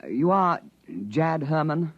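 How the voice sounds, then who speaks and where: A man's voice: fairly high pitch, expressive, slow, dry recording. A man playing a reserved, businesslike intelligence officer in an old radio drama, clipped and a little hesitant. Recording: dull vintage radio recording.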